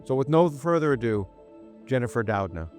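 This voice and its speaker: A man hosting an interview podcast, talking in halting runs with many restarts and repeated words. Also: medium pitch, very animated, darkish tone, soft background music. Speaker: podcast host. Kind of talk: interview podcast, intro then conversation. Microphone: remote interview setup.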